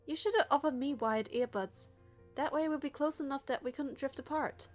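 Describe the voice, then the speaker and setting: A woman with a high voice reads story narration expressively, as a player going through a game. Soft music plays behind her. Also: normal speed.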